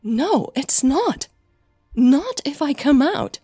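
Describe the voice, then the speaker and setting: A woman's voice: high-pitched, very animated, takes it slowly, warm tone, no room sound, clean studio sound, faint music behind, pitched above the performer's own voice, full character voice. A woman voicing a bitter, defensive young actress in an audio drama quarrel, emotional and clipped, with a flu-affected voice. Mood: bitter, upset.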